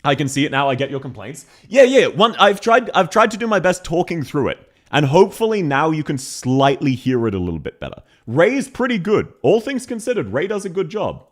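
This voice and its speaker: A man's voice: on the high side, sing-song, brisk, few pauses. A young Australian man chatting casually on a live stream, playful and easily sidetracked.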